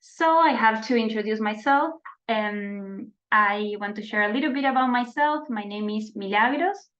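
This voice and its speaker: Woman moderating a video call. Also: fairly high pitch. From Argentina. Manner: warm formal welcome with frequent pauses and restarts. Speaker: moderator and peace educator. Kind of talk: moderating an online youth dialogue. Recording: slightly dull video call audio.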